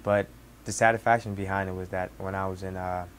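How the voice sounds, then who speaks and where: Young Senegalese man, twenties, native Wolof speaker; low, even delivery, halting with restarts; faint background noise. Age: 20s. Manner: halting, many restarts and fillers. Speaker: cook. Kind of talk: casual personal storytelling.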